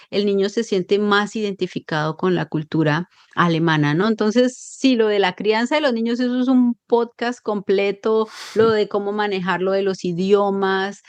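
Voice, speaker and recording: A Colombian woman, a psychologist, talking in a lively and expressive way in an interview, explaining with examples. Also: medium pitch, clear, resonant tone, no echo. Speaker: psychologist guest. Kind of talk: conversational interview.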